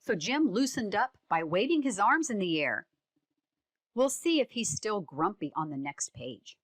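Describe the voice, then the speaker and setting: A woman giving instructional narration and reading a picture book for children, expressive and deliberate, with prompting questions. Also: mid-range voice. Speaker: read-aloud instructor.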